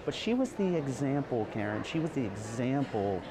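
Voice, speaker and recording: A man speaking earnestly as an interview guest, at times halting and repeating words. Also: medium pitch, very animated, a crowd in the background, rough recording. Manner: emotional, halting restarts. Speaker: guest, trauma survivor and advocate.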